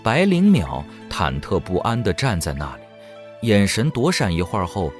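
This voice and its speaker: A man's voice: low voice, huge pitch swings, normal speed. A man giving dramatic scripted narration for an audio drama. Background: faint music.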